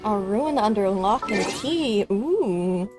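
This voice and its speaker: Unhurried, animated woman gamer. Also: mid-range voice. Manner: informal, talking to herself. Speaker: gamer, streamer. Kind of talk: casual gameplay commentary. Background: game music.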